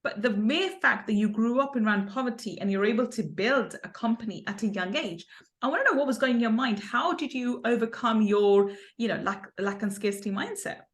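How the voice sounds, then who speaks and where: A woman's voice: on the high side, animated, a little fast. A woman hosting a podcast interview, effusive and upbeat, often laughing as she introduces and questions her guest. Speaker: podcast host.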